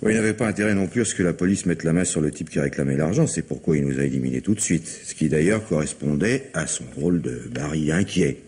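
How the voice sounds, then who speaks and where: A man's voice: extremely low pitch, expressive, fast, hardly any pauses, darkish tone, husky, full character voice. An actor in a French radio mystery play voicing a sceptical, exasperated police colleague, wry and emphatic as he reasons. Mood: reasoning.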